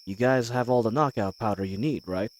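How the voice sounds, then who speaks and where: A man's voice: mid-range voice, fairly quick, a little hiss or hum, natural voice. An actor playing a cocky but wary male bandit leader in a fantasy audio roleplay, with a folksy, wry way of talking. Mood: hushed, commanding. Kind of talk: scripted fantasy audio roleplay, addressing the listener.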